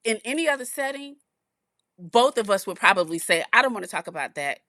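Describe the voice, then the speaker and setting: A woman's voice: medium pitch, expressive, fairly quick, pushed and bright, no echo, studio quality. A woman co-hosting a podcast, talking in a reflective, casual way and often repeating words as she thinks. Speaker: podcast co-host. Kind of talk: casual chat.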